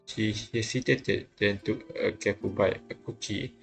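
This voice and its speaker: Low-pitched man, fry in the voice, narrating visual novel text, halting, repeats phrases, faint music behind. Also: steady tone. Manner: often stumbles and repeats phrases. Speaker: host reading a visual novel aloud. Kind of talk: halting read-aloud narration of game text.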